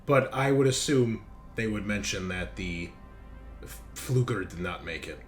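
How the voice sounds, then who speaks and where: A man's voice: on the low side, lively intonation, unhurried, faint music behind. A man running a tabletop game as game master, chatting casually and often repeating phrases as he thinks aloud. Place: voice call on headset. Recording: clear background noise.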